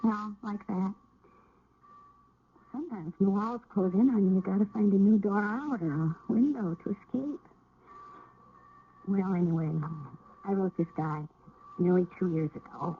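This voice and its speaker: An actress playing a wary, guarded eighteen-year-old runaway with a dry, hesitant way of talking in a radio drama. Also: female voice, mid-range voice, unhurried, long pauses, a little hiss or hum, close to an everyday voice. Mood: confiding, downcast. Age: young adult. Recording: muffled old radio broadcast.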